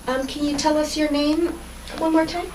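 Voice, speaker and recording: A woman conducting an oral history interview, her voice slightly high. She asks questions plainly and answers with short, gentle acknowledgements. Faint ambience sits behind her. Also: fairly even intonation, normal speed, slight background noise, poor audio.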